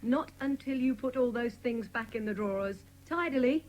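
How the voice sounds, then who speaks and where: A woman playing a brisk, teasing but indulgent mother in a dramatised children's audiobook. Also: a little high, darkish tone, a little hiss or hum, close to an everyday voice, rough recording. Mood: brisk, scolding.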